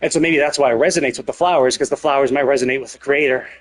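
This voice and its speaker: A man's voice: mid-range voice, steady tone, very fast. A man livestreaming a game, thinking aloud in a casual, rambling, hesitant way with some swearing. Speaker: live game streamer.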